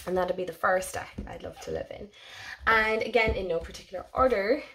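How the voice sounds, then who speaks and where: A young woman with a hoarse voice from a head cold, chatting casually and gushingly as she shows her tarot decks on her own channel. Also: on the low side, a little hiss or hum. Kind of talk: casual show-and-tell vlog.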